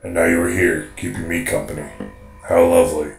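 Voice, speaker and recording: Man as a deadpan, gravelly robot. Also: deep voice, fairly quick. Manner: flat, deadpan, polite. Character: deadpan metal robot Asura, mildly pedantic. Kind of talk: fan audio drama acting. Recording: music behind the voice.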